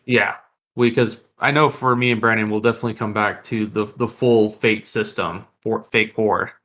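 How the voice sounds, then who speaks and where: A man's voice: fairly low pitch, fairly even intonation, moderate pace, a bit bright. A man co-hosting a podcast, chatting casually with his guest and fellow host.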